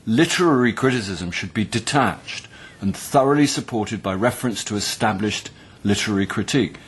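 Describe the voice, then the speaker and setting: An actor playing a wry, pedantic middle-aged literature tutor in a radio drama, with a husky voice and a southern English accent. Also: male voice, lowish voice, lively intonation, moderate pace. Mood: hesitant, pedantic. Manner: hesitant, dry, articulate, pedantic.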